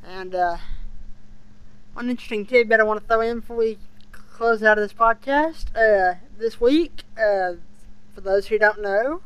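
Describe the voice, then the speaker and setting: A podcast host chatting casually about a show for fans, speaking in a halting, hesitant way. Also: medium pitch, pushed and bright, clean tone, rough recording. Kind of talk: casual fan podcast review chat.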